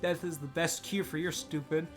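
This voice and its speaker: A man's voice: on the high side, fairly even intonation, moderate pace, faint music behind, natural voice. A man voicing a tiny, vulgar, fierce old grandmother with a coarse, snarling way of talking. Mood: angry, mocking. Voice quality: coarse, snarling, rural grammar.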